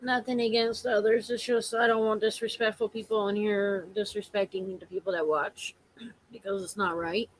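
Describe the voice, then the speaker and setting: A woman whose voice is going hoarse from allergies chats on a casual home live stream. She speaks in a halting, earnest way, circling back and repeating her points as she talks to viewers. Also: medium pitch, little pitch movement, low-quality recording.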